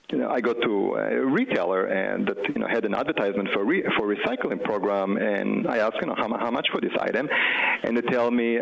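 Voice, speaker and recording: A man's voice: fairly low pitch, creaky, low-quality recording. A man in his forties with an Israeli accent, a company chief talking as a guest in a casual interview, telling his story with lots of fillers and restarts.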